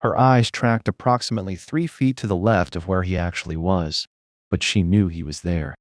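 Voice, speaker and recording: Low-voiced man narrating noir fiction. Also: normal speed, dry recording, clean studio sound. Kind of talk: scripted first-person noir narration.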